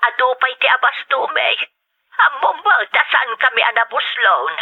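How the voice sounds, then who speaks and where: An actor playing a caring older mother speaking gently to her son over the phone. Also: extremely high pitch, very animated, moderate pace, vocal fry, soft background music, full character voice. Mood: tender. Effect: phone line. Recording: tinny phone line.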